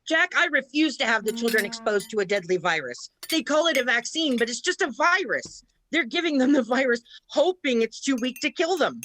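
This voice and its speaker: A woman's voice: sits high, sing-song, brisk, few pauses, sharp tone, slight background noise, heavily acted, rough recording. A woman playing an anxious, stubborn, distrustful mother in a scripted radio play. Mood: angry, defiant. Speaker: actor in a college radio play. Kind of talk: scripted radio drama acting.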